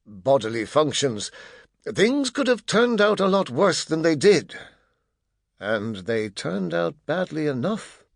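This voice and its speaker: Animated male audiobook narrator, dry close sound. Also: mid-range voice, no room sound. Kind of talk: expressive scripted narration of a children's fantasy audiobook.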